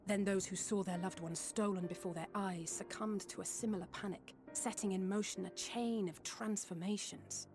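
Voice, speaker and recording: A woman's voice: medium pitch, fairly even intonation, moderate pace, hardly any pauses, over music, lightly acted. Woman playing an earnest, serious fantasy heroine. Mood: sober. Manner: serious, formal. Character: earnest, concerned female investigator of calamity. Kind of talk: fantasy game voice acting.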